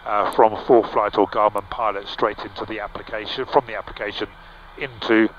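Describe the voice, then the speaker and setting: A middle-aged man piloting a small aircraft, casually explaining the cockpit over a headset boom mic, relaxed, with frequent fillers and restarts. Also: brisk, crowd noise. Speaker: pilot and vlog host. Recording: slightly dull, with faint engine hum.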